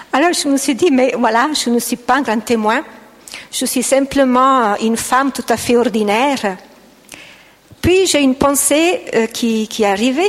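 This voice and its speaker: A middle-aged woman with an Italian accent, giving a gentle, hesitant personal faith testimony to an audience. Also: on the high side, big-room sound. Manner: gentle, hesitant, with frequent restarts.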